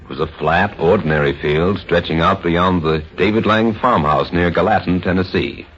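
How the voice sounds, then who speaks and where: Low, dramatic American male radio narrator. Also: a bit bright, slight background noise. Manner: suspenseful, dramatic, measured storytelling. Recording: dull vintage radio, faint music behind.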